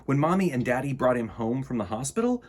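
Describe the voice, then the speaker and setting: A man's voice: mid-range voice, very animated, fast talker, slight background noise. A man reading a children's picture book aloud with playful, theatrical emphasis and casual asides. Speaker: children's storytime reader.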